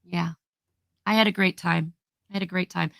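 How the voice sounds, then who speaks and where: A woman's voice: lowish voice, fairly even intonation, normal speed, gravelly, a bit bright, no room sound, clean studio sound. A woman with a head cold and a throaty voice, chatting cheerfully as a co-host about a trip. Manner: chatty and upbeat, laughs while telling stories. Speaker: co-host guest. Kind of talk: casual chat, telling a travel story.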